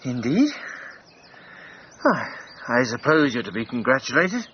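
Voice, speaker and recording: An actor playing a jovial, cocky Edwardian gentleman adventurer in a radio drama, chatty and teasing in manner. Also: medium pitch, sing-song, a little slow. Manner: chatty, hesitant starts, chuckling, teasing. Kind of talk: period radio drama dialogue. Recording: dull band-limited radio, faint noise.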